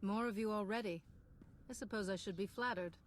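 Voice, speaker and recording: A woman's voice: medium pitch, brisk, lightly acted. Actress as Jedi hero, woman, earnest, resolute, mid register, game dialogue, heavy background noise. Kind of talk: video game voice acting.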